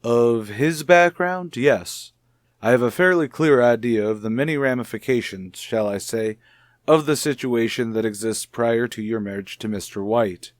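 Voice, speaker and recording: An actor playing a calm, formal male child psychologist in a vintage radio soap opera, questioning in a measured, deliberate way. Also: mid-range voice, no room sound, clean studio sound, natural voice. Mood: measured, probing.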